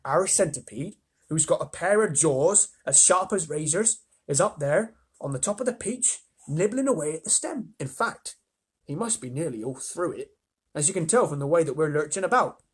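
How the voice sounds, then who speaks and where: A reader voicing a gentle, motherly female ladybird in a storybook reading, with a husky tone. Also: mid-range voice, expressive, normal speed. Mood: explaining. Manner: gentle, reassuring.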